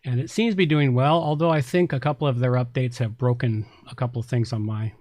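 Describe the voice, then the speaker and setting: A man's voice: mid-range voice, steady tone, quick pace, darkish tone, dry recording. Blunt, informal man in dry, close sound. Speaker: podcast co-host. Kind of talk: casual opinionated tech chat.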